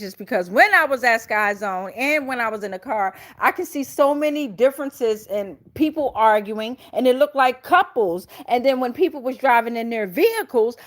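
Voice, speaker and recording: A woman's voice: fairly high pitch, a bit bright. An American woman giving an informal tarot reading as host, blunt, foul-mouthed and emphatic, often checking in with her listeners. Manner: checks in with listeners with repeated tag questions.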